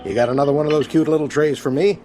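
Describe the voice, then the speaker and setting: An actor playing a smooth-talking, forward airline passenger in a radio drama. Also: male voice, fairly high pitch, fairly even intonation, rapid-fire, lightly acted. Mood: flirty, teasing.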